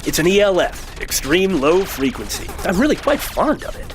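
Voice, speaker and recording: A man's voice: high-pitched, big pitch swings, moderate pace, a little hiss or hum. A man playing a smug, persuasive robot rebel leader in a theatrical, mocking audio drama voice. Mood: boastful.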